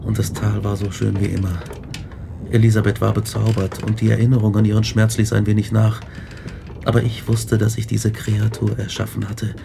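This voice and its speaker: A guilt-haunted scientist narrates his tale in a radio play. The voice is gravelly and measured with very little melody, grave and brooding. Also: lowish voice, completely flat delivery, noisy background. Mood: brooding, somber. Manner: grave, brooding, literary delivery. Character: tormented male scientist recounting his guilt and dread. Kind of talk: first-person dramatic narration in a radio play.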